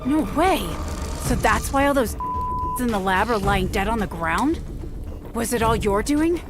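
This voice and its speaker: A woman's voice: a little high, lively intonation, measured pace. A woman voicing a brash, exasperated female investigator in a video game, blunt and urgent. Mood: incredulous. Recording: music behind the voice.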